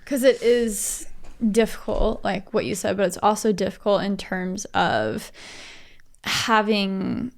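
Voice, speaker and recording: A woman's voice: mid-range voice, slow pace, creaky. A young woman from Texas hosting a podcast, chatting playfully and teasing her guest. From Texas, USA. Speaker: podcast host. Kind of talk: casual conversation.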